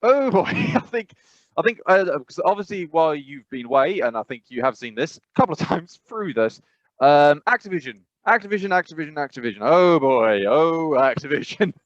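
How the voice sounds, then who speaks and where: A man's voice: fairly high pitch, animated, normal speed, no room sound. A man from England co-hosting a casual podcast, rambling and hesitant with many restarts. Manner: rambling, hesitant, many fillers and restarts, laughs while talking. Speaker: podcast co-host. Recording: dull dry lo-fi mic.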